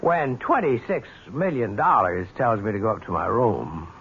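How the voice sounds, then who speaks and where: An actor playing an edgy, suspicious fiancé in a 1970s radio drama, defensive and combative in manner. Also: male voice, on the low side, lively intonation, moderate pace, fairly bright tone. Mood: bitter, accusing. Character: edgy, suspicious man engaged to an heiress. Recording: dull, noisy old radio recording.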